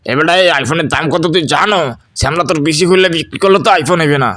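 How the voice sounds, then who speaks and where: A man's voice: on the high side, fast, fairly bright tone, no room sound. A man voicing a grumbling, sarcastic village father in a comedy cartoon, scolding in a rural Bangladeshi dialect. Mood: exasperated. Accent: regional rural Bangladeshi. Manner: scolding, mocking, rustic dialect.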